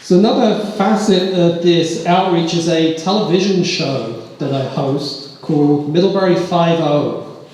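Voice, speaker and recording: A police officer giving a live pitch talk on stage, joking at his own expense with the audience. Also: unhurried, darkish tone. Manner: self-deprecating, joking with the audience. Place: large echoey hall. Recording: echoey hall, roomy reverb.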